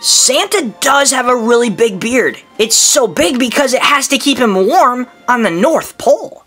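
A woman's voice: a little high, expressive, unhurried, a bit bright, husky, heavily acted. A woman voicing a kindly troll dad reading a bedtime Christmas poem to children, theatrical and patient. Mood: gently explaining. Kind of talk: storybook verse narration for children. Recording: background music bed.

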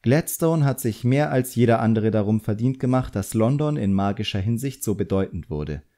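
A frank, composed woman who teaches drawing, voiced by a male audiobook reader. The voice is mellow, and the delivery is measured and instructive with a pointed edge. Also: medium pitch, lively intonation, lightly acted.

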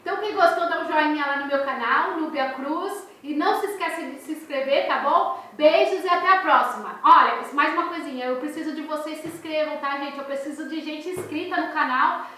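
Woman, 30s, Brazilian Portuguese accent, high voice, expressive storyteller, very echoey bedroom, recorded from a distance. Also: brisk, hardly any pauses. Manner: animated, expressive storyteller, gestures a lot. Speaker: crochet and crafts channel host. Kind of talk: casual storytelling vlog to viewers. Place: small bedroom, indoors. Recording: echoey room, voice off the mic.

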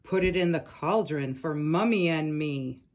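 A woman's voice: deep voice, measured pace, darkish tone. A woman reading children's nursery rhymes aloud from a picture book, speaking to young listeners. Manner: addresses young listeners with questions and short comments.

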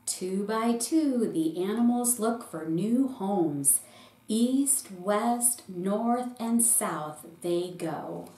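A middle-aged woman, a pastor, reading a children's picture book aloud in short, deliberate phrases. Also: mid-range voice, expressive, dark tone. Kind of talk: storybook read-aloud for children. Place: small indoor room with bookshelf. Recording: roomy echo with faint background noise.

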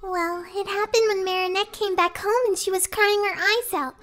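Tiny cartoon fairy, extremely high and bright, anxious, female. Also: steady tone, normal speed, faint music behind. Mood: worried. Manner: fretful, squeaky. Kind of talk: fan comic dub acting.

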